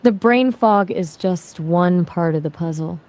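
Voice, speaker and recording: A woman's voice: on the low side, a little slow, a little mellow. A woman's voice from an AI conversation partner, chatting casually in a friendly, attentive way and asking follow-up questions. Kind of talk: casual chat.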